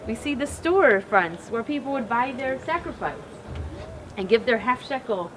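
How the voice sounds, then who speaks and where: American woman, rabbi, earnest tour explanation, fairly high voice, outdoor noise. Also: lively intonation, fairly bright tone, rough recording. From Cleveland, Ohio. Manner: earnest, explanatory, with fillers and pauses. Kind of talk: guided tour talk. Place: outdoors at an archaeological site.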